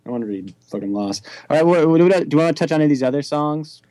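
A young man hosting a casual music chat, telling loose, animated stories and swearing freely. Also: a little high, racing, no room sound. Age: young adult. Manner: animated, loose and profane storytelling.

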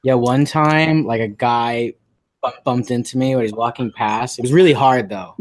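A man's voice: medium pitch, moderate pace, low-quality recording. A man co-hosting a comedy podcast, talking in a playful, teasing way and often breaking into giggles. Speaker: podcast co-host. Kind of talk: casual comedic chat.

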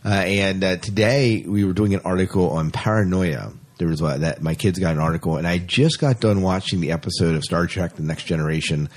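A man's voice: sits low, brisk, few pauses. A man chatting casually about science fiction as a podcast co-host, often repeating phrases for emphasis.

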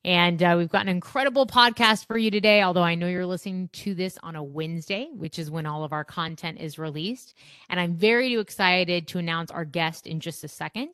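A woman's voice: on the low side, brisk, a bit bright, clear, resonant tone, dry recording, clean studio sound. A woman hosting a podcast in an upbeat, conversational presenting style. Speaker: podcast host.

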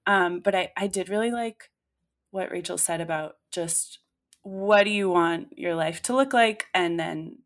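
A woman's voice: on the low side, a little slow. A woman in her late twenties, co-hosting a podcast in casual chat, talking freely with many fillers and restarts. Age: late 20s. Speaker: podcast co-host.

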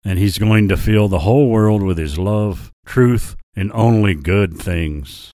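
Man voicing a low, gentle, solemn lion. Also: unhurried, no echo, studio quality, lightly acted. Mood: earnest. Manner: gentle, serious, preaching. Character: gentle, solemn male lion messenger, loving and serious.

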